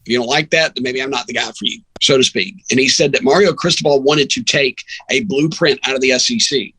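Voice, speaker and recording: Man, sports announcer guest, bright forceful voice, casual storytelling, rambling, dry sound. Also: mid-range voice, fast talker, breathy edge, no room sound, rough recording. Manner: conversational, anecdotal, rambling.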